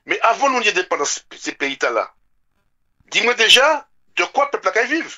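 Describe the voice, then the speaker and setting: A man's voice: high-pitched, sing-song, moderate pace, bright, forceful tone, muffled recording. A man with a French Caribbean accent in an impassioned, informal political discussion, repeating words emphatically. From French Antilles. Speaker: radio show speaker.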